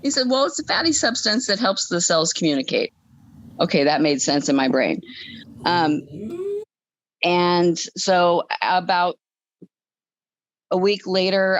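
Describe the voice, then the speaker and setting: A woman's voice: lowish voice, no echo, rough recording. A woman in her fifties telling a personal story conversationally as a podcast guest, stressing points by repeating phrases. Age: 50s.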